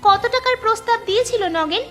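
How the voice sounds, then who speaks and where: A woman's voice: very high-pitched, fast, a bit bright. A woman voicing a determined, crafty young village girl in a Bengali cartoon, speaking firmly and insistently. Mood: stern. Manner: firm, insistent. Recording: music behind the voice.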